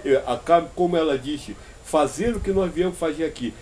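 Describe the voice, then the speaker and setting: A man's voice: fairly high pitch, moderate pace, background noise. A Brazilian man leading an informal spiritual discussion, answering with emphatic repetition and rhetorical questions. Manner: emphatic, repeats phrases, uses rhetorical questions.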